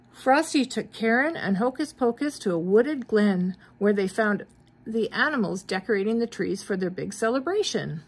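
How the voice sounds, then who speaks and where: An older woman reads a picture book to small children. Her voice is a little husky with a cold and rises and falls animatedly. She breaks off for gentle questions to her listeners. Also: mid-range voice. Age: middle-aged or older. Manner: expressive, questioning asides to young listeners. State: mild cold. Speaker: grandmother reading to grandchildren. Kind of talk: children's storybook read-aloud with asides.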